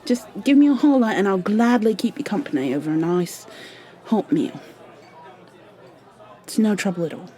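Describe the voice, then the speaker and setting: A woman playing a kindly, chatty tavern keeper in an ASMR roleplay, rambling gently with fillers and slipping into whispers. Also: medium pitch, normal speed, pauses often, a faint crowd, close to an everyday voice. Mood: reassuring.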